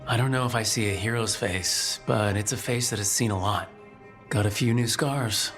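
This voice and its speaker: An actor playing an earnest young human mage hero in a video game, reflective and determined. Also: male voice, on the low side, moderate pace, fairly bright tone, faint music behind, natural voice.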